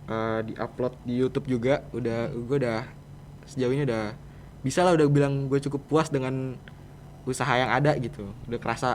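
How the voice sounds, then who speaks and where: A man's voice: medium pitch, fairly even intonation, brisk. Teen guy from jakarta chatting on a student podcast, slangy n bit hesitant, mid voice, noisy room. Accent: Jakarta Indonesian. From Indonesia. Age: teenager. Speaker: podcast guest, student program organizer. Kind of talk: casual interview chat.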